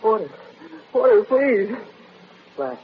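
A man acting a frightened, confused, feverish patient in an old radio drama, pleading in halting, broken phrases. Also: high-pitched, very animated, heavily acted. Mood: delirious, anguished. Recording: tinny, band-limited vintage radio recording with noise.

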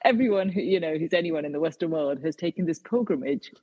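A woman's voice: low-pitched, expressive, fast talker, mellow, breathy edge, long reverb tail, highs cut off. A British woman, an author and guest, answering interview questions with many fillers and restarts. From Britain.

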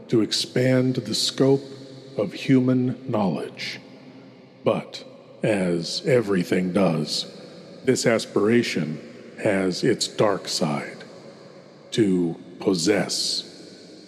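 A man playing a theatrical horror host, eerie, lofty and intimate, with grandiose delivery and dramatic pauses. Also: mid-range voice, close to an everyday voice. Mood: solemn brooding. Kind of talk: theatrical scripted horror introduction. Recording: long cavernous hall reverb.

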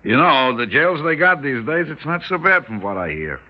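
An actor playing a weary older delicatessen owner in a radio drama, wry and politely resigned. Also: male voice, medium pitch, moderate pace. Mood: earnest coaxing. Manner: wry, resigned, politely deadpan, inverted phrasing. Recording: dull, hissy old radio recording.

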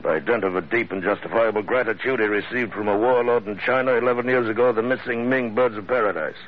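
An actor playing a suave middle-aged villain in an old-time radio drama, speaking in courtly, ornate sentences with polite menace. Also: male voice, lowish voice, natural voice. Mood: insistent, controlled. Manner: courtly, unhurried, ornate formal speech. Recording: muffled, phone-like old radio recording.

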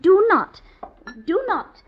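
Slow, high-voiced pleading wife. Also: female voice, sing-song, slight background noise, heavily acted. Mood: desperate pleading. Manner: slow, insistent repeated denials and pleas. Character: defiant murderess wife, pleading, pinning blame on others. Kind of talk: 1950s radio drama acting. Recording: dull vintage radio recording.

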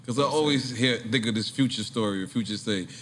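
A man telling a story in an animated, laughing way. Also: medium pitch, fairly quick, echoey room, a little hiss or hum. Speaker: guest.

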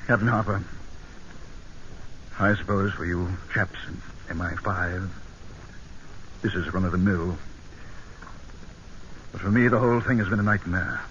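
An actor playing a guarded army colonel in a vintage radio drama, with clipped, formal, halting speech on an old broadcast recording. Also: male voice, deep voice, fairly even intonation, fairly bright tone, lo-fi sound, a little hiss or hum. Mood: subdued, regretful. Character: British army colonel, guarded, professing remorse over killing a friend. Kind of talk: 1940s-50s radio drama acting with narration.

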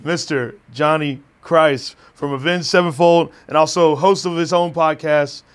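Chummy man in his thirties, slightly high. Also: steady tone, unhurried, clear, resonant tone. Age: 30s. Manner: chummy and profane, says dude and man a lot. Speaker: podcast host. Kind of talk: casual chat.

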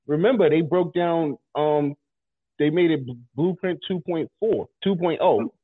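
Congested guy hosting radio, husky, insistent, tinny dry sound. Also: a little high, a little slow, no echo. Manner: argumentative, insistent, repeats words. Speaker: radio show host. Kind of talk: casual debate chat.

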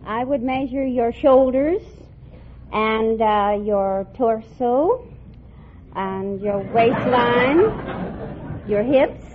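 A woman's voice: on the high side, drawn-out words. A woman contestant answering a quiz host's questions on air in a polite, matter-of-fact way. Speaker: quiz show contestant, dress shop owner. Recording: tinny old radio broadcast, faint noise.

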